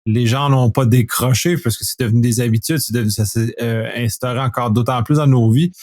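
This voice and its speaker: A man's voice: medium pitch, steady tone, brisk, a little mellow, dry recording, studio quality. A man hosts a podcast interview, setting up questions with loose, restarted phrasing. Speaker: podcast host. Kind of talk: conversational interview.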